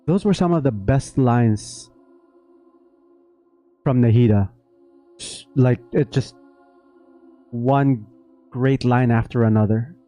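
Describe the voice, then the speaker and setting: A man's voice: mid-range voice, takes it slowly, long pauses, dark tone, faint music behind. A man doing casual live game reaction commentary, chatting playfully with his viewers. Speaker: game streamer.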